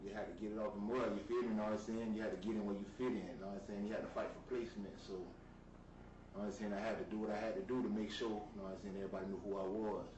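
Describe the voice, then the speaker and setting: Low flat-voiced young man, roomy and distant. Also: very fast. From South Florida. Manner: laid-back street slang, repeats "you feel me". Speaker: rapper guest. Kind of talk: casual interview. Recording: roomy, distant mic with noise.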